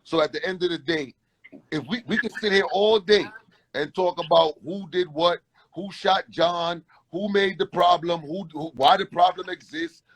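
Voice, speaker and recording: A man's voice: high-pitched, normal speed, fairly bright tone, poor audio. A man hosting a panel discussion, rambling through restarts and rhetorical questions.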